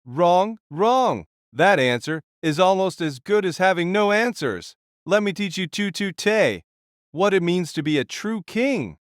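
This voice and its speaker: A man's voice: sits high, very animated, unhurried, no room sound, clean studio sound, voice pushed up. A man voicing a proud, commanding warrior king in an audio drama, with grandiose, declamatory delivery. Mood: booming, lecturing.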